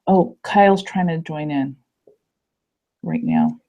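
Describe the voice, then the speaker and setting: A young woman in a student group video call, hoarse from illness, chatty and apologetic with lots of ums. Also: low voice, big pitch swings, slow pace, warm tone. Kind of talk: casual video call meeting. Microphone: computer mic on a video call. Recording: dull lo-fi call audio.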